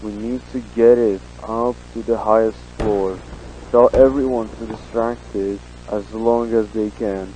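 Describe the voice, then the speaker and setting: A man's voice: fairly low pitch, steady tone, unhurried, dark tone, close to an everyday voice, rough recording. A man acting as a harried fighter leading a desperate battle plan in an amateur audio drama. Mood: harried, urgent. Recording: faint background hiss.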